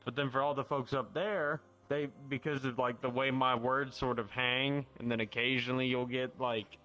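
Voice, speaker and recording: Bright-voiced man with a light Southern drawl. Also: mid-range voice, flat delivery, normal speed, poor audio. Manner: rambling, meandering explanations. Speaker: roleplay player. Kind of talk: casual chat.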